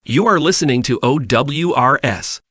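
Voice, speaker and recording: A man's voice: medium pitch, lively intonation, normal speed, fairly bright tone, husky, no room sound, faint music behind. A man reading a scripted radio station announcement.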